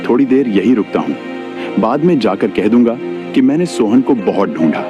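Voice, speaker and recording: A man's voice: fairly low pitch, lively intonation, normal speed, lo-fi sound, music in the background, natural voice. A man playing a greedy, scheming village labourer in a moral story cartoon, sly and deceitful. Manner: sly, falsely reassuring.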